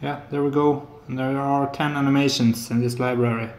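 A man's voice: mid-range voice, steady tone, fairly quick. A man in his thirties from Norway with a Norwegian accent, showing a hobby electronics build at home in a hesitant way with lots of filler sounds. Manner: hesitant, many filler sounds, soft-spoken. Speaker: hobbyist maker. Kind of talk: casual tech demo. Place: apartment living room and kitchen, indoors. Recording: echoey room.

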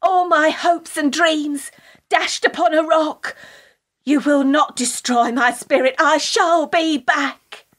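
A woman's voice: high-pitched, steady tone, slow pace, fairly bright tone. A British woman playing an earnest, starstruck theatre fan in a radio play, prim and gushing. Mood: melodramatic.

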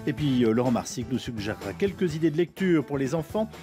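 A man's voice: medium pitch, sing-song, fast talker, music in the background. A middle-aged man presenting a morning radio show from a studio desk microphone. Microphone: broadcast desk microphone.

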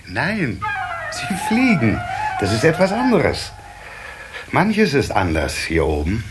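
A man's voice: mid-range voice, huge pitch swings, slow, over music. An actor playing a patient, protective water sprite father in a children's radio play, explaining things with small hesitant stammers. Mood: gently correcting. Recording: slightly hissy old cassette recording.

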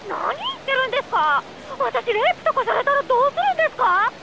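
An exasperated young female secretary in a game drama. Her voice sits very high and swings around as she complains, fussy and pushy, with clear noise behind her. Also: fast, exaggerated voice. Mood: indignant. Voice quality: high-pitched, animated. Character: exasperated, whiny young office secretary woman. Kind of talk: video game voice acting.

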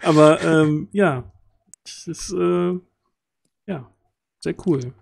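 A man's voice: on the high side, extremely slow, vocal fry. A man chatting casually and giving feedback on a video call, feeling his way thoughtfully through his sentences and often rephrasing. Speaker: tabletop role-play streamer and player. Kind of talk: casual chat, giving feedback after a game. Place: indoors on a group video call.

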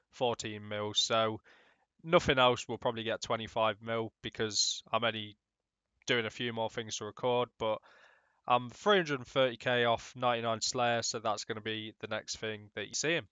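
A man's voice: mid-range voice, a bit bright, clean tone, no echo. A young man with a cold, sounding nasal, giving rambling casual commentary over his game footage. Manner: rambling, many ums and restarts, swears casually. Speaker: gaming YouTuber.

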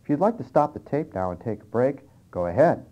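A man's voice: medium pitch, big pitch swings, a little fast, dark tone. A man presenting scripted instructional material on an old cassette tape. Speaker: presenter and interviewer. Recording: dull early-1990s cassette tape transfer.

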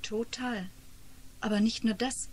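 Worried mother, fairly high voice. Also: steady tone, a little slow, slightly rough, low-quality recording. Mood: grave, hushed. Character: worried mother, relieved and grave. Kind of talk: radio play acting.

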